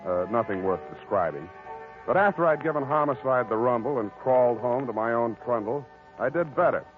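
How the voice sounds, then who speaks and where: A man acting a wry, weary hard-boiled detective in a deadpan, clipped 1940s radio drama style. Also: medium pitch, brisk, lightly acted. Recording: muffled old radio recording with music.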